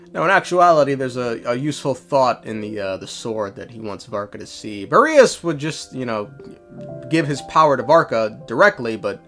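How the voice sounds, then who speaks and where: A man with a slightly high voice delivers casual video commentary. His intonation swings in a lively way, and his manner is irreverent and sardonic. Faint music plays behind him. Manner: irreverent, sweary, sardonic asides. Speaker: video creator recapping a game story.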